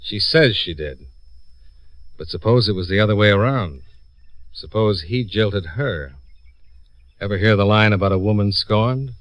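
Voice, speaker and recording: An actor playing a cool, shrewd American insurance investigator in a vintage radio drama, with dry, clipped, probing delivery. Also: male voice, on the low side, lively intonation, unhurried, long pauses, no room sound. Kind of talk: 1950s radio detective acting and first-person narration.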